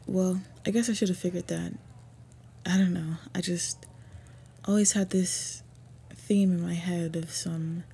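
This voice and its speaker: A woman playing an earnest, respectful young orc princess in a fantasy roleplay audio, speaking softly and haltingly with tender pauses. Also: lowish voice, vocal fry, breathy edge, exaggerated voice. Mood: wistful.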